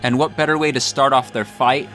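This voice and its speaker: A male host delivers comedic scripted commentary at a middling pitch. His delivery is mock-grandiose and tongue-in-cheek, and light music plays behind him. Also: fairly even intonation, fairly quick, a bit bright. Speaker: video essay host.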